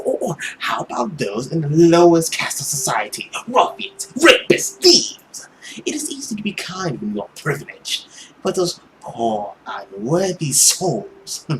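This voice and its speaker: A woman voicing a suave male villain who preys on people, with a theatrical, condescending manner and drawn-out words. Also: low voice, slight background noise, full character voice, poor audio.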